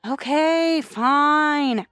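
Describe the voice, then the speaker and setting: Woman acting a high-pitched, haughty rich schoolgirl. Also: extremely slow, fairly bright tone, no room sound, clean studio sound, faint music behind, heavily acted. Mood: whiny, demanding. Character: rich, poised high school girl, sharp-tongued, lazily demanding at home. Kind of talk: anime-style manga dub acting.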